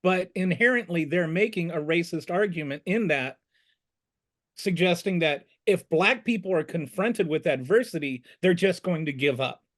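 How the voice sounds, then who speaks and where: A man with a high-pitched voice speaks as a panelist in a casual discussion. He argues emphatically, repeating words as he builds his point. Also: moderate pace, a bit bright.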